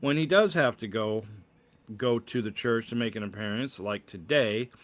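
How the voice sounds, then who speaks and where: A man's voice: lowish voice, normal speed, soft background music. A man reading his own novel aloud as a narrator, stumbling now and then and repeating words to correct himself. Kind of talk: read-aloud audiobook-style narration.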